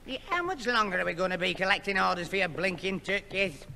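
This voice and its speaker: An echoing old radio recording of a dim young grumbler. His voice is very sharp and creaky, easily flustered. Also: sits high, expressive, fast talker, exaggerated voice. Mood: grumbling. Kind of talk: 1960s radio sitcom acting. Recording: reverberant, slightly noisy old radio recording.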